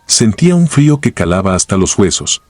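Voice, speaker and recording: A man narrating horror stories in a grave, measured, scripted way. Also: on the low side, big pitch swings, a little mellow, no echo, soft background music.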